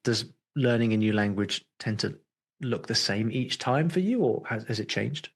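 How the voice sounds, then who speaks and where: A man's voice: fairly low pitch, lively intonation, normal speed, breathy edge. Chatty male podcast host. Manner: thinks aloud with many restarts and fillers. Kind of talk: casual podcast interview.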